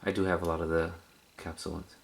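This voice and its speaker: A man's voice: deep voice, flat delivery, fast, gravelly, slight background noise. A man chatting casually and hesitantly as he shows off a collection, repeating words for emphasis. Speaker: cassette collector video host. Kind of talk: casual rambling show-and-tell.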